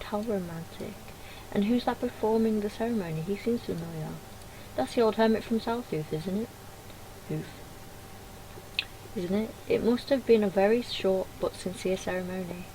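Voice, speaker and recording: A woman's voice: medium pitch, moderate pace, warm tone. A woman reading game dialogue aloud with casual commentary, in a noisy home recording. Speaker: gaming video creator.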